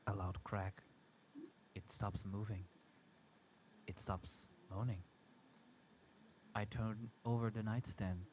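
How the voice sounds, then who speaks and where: A man reading a first-person horror story aloud in a halting, dramatic way. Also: on the low side, steady tone, a little mellow, slight background noise. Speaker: amateur story reader.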